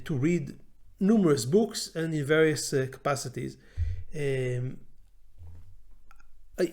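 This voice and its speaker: A man in his forties with a fairly high voice talks informally as a language teacher, in English learned after his native Hebrew. He is animated and often stops on fillers and restarts his sentences. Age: 40s. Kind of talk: informal talk to camera.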